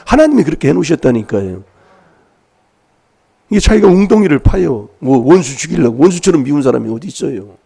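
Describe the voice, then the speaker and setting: A man's voice: mid-range voice, creaky, mellow, slightly rough. A man preaching an emphatic sermon in a church, prodding his listeners with rhetorical questions while he has a cold. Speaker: pastor.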